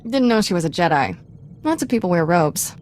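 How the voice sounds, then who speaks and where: A sardonic young trainee in a video game cutscene. Her voice is fairly low, flippant and casual, over noticeable ambient noise. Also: big pitch swings, brisk, darkish tone. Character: sardonic young female Jedi apprentice, former street urchin.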